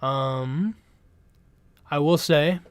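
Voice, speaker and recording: A man's voice: a little high, very slow, clear, resonant tone, dry recording. A young Canadian man streaming from home, chatting casually and rambling with lots of swearing and restarts. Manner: rambling, profane, laid-back, full of restarts. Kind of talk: casual live-stream chat.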